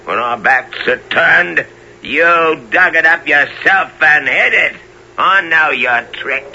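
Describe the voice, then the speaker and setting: A man playing an excitable, treacherous pirate henchman in an adventure drama, blustering and exclaiming in a gravelly voice. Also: on the high side, full character voice. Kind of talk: dramatized adventure story acting. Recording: noisy, dull lo-fi radio-like recording.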